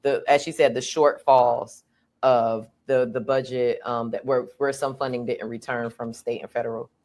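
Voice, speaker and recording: A woman's voice: rumbling low, fairly even intonation, moderate pace, darkish tone. A woman co-hosting a podcast, speaking in casual, slangy conversation and reflecting on her views. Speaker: podcast co-host. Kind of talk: casual chat.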